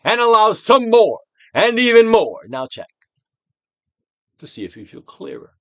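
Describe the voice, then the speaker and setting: A man narrates a self-help book like a stage performance. His pitch swings widely as he swells and drops his emphasis with deliberate care. The recording is dry and close, with hardly any room sound. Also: very animated, dry recording. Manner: theatrical, deliberate delivery with swelling and falling emphasis. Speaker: narrator, audiobook reader. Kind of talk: dramatic reading of a self-help book, guided exercise.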